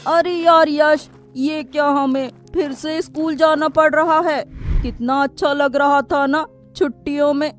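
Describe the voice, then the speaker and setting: A woman puts on a cheeky young cartoon schoolboy. The voice is high and childlike, with a slightly whiny edge. Music plays softly underneath. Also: steady tone, unhurried. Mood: complaining. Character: cheeky young schoolboy among his friends. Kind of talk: cartoon voice acting.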